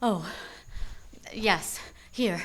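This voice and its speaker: An anxious mystery lady from a noir radio play. Her voice sits at a medium pitch, and she speaks in short, guarded phrases with an urgent undertone. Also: very slow, a bit bright. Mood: frightened. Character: mysterious, anxious married woman in trouble. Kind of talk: noir radio drama acting.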